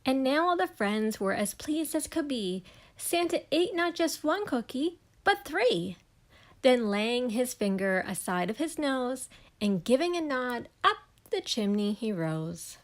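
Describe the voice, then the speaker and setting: A woman reading a rhyming children's story aloud in an expressive, unhurried, measured way. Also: high voice. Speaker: storybook reader.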